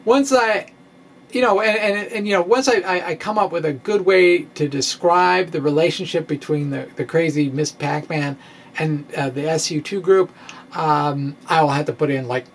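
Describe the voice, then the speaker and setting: A middle-aged man gives an informal, theatrical science monologue in a halting, quirky storytelling manner. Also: on the high side, vocal fry, a little hiss or hum. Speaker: science vlogger, amateur physicist. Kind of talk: informal dramatic blog reading, explanatory monologue. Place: indoor room with bookshelves. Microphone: microphone on stand, close in front.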